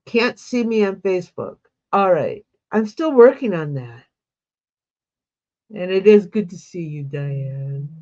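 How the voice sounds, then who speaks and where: Woman host, stuffy with a cold, unhurried friendly chatter, slightly dull lo-fi sound. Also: on the low side, expressive, dark tone. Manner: chatty, greets viewers by name. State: congested with a cold. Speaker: embroidery livestream host. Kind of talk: casual livestream chat.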